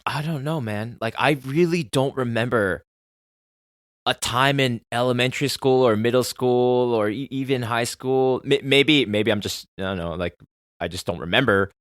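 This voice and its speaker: A man in his thirties, a native Korean speaker, hosting a solo podcast and talking earnestly, moving between soft musing and loud excited bursts. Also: mid-range voice, normal speed, no echo, studio quality. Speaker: podcast host.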